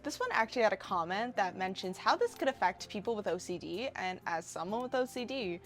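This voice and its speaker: Female, 20s, animated commentary narrator, wry and casual, average pitch, light music bed. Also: brisk. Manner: wry, sarcastic asides. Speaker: video essayist. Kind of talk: casual scripted video essay commentary.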